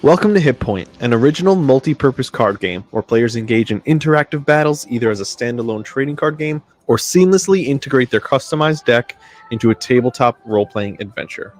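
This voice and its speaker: A man reading scripted promotional narration that explains things step by step. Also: medium pitch. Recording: music bed behind voice. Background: music.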